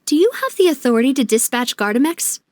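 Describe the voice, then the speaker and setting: A woman's voice: high-pitched, very animated, fast, a little mellow, slight background noise. An actress playing a determined, playful young woman investigator in a video game, polite and confident. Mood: polite, earnest. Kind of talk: video game dialogue acting.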